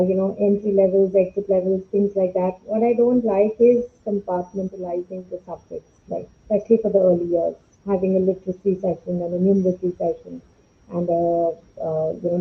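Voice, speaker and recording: Woman educator on a tinny, phone-like line. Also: medium pitch. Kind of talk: explaining in a panel discussion. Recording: tinny, phone-like call audio.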